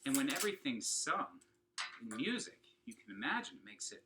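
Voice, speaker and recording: A man's voice: mid-range voice, big pitch swings, a little fast, heavy background noise. A man giving an upbeat, encouraging lesson to children, talking to them directly and asking questions as he goes. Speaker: children's singing and opera teacher. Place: at home.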